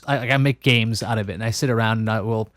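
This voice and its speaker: A man's voice: on the low side, fairly even intonation, quick pace, a bit bright, dry recording, studio quality. A man hosting a podcast interview, musing and thinking aloud with frequent restarts. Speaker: podcast host. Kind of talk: casual interview conversation.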